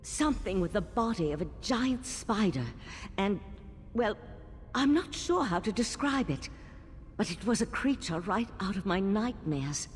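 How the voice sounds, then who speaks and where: A resolute female adventurer from a fantasy video game, speaks with earnest composure at a medium pitch. Her voice rings in a long, cavernous reverb. A faint rumbling noise sits behind her. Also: very animated, unhurried. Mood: uneasy. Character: resolute female adventurer companion, shaken yet brave. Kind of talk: scripted video game character dialogue.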